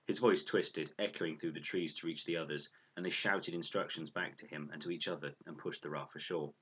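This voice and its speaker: A man narrates a storybook adventure expressively. His voice sits low, with a bright, forceful tone and a husky grain. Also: fairly even intonation, a little fast. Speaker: audiobook reader.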